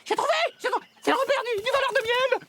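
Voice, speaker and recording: A woman voicing a small, excitable mongoose who brags and repeats phrases in a French cartoon dub. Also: very high-pitched, fast talker. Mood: eager. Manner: repetitive, bragging. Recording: loud cartoon music behind.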